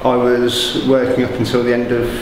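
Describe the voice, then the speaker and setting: A man in his thirties, a hostel resident speaking in a measured, hesitant way in a documentary interview. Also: mid-range voice, completely flat delivery. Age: 30s. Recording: echoey hall with noise.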